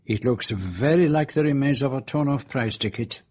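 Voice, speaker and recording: An actor playing a famous foreign detective in a radio drama, with a French accent, precise, courteous, self-assured and dryly witty. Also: male voice, medium pitch, normal speed, a little mellow, breathy edge, no echo, studio quality, natural voice. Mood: composed, courteous. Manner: precise, courteous, sprinkles speech with French phrases. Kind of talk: radio drama dialogue and narration.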